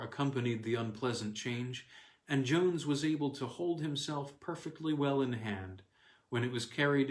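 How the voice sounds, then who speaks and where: A roomy, echoing recording of a man reading an old weird story. His pitch sits in the middle for a man. He phrases with measured drama, leaving deliberate pauses as an audiobook narrator. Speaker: audiobook reader. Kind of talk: scripted narration of a weird tale.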